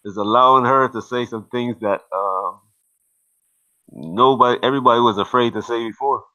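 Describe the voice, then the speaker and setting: A man talks slowly and haltingly about politics, pausing to find his words as he thinks aloud. His voice is bright and a little tense, and it reaches the listener through a dull, lo-fi sound. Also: mid-range voice. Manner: slow, hesitant, thinking aloud. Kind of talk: casual political discussion.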